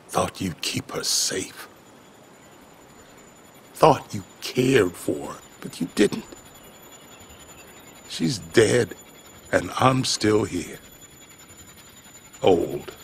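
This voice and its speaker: A man playing a broken, bitter, grieving old father in a video game, gravelly and halting, with faint noise behind him. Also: low voice, full character voice. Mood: wounded, bitter. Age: older. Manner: halting, raw, emotionally heavy delivery. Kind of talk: dramatic video game acting.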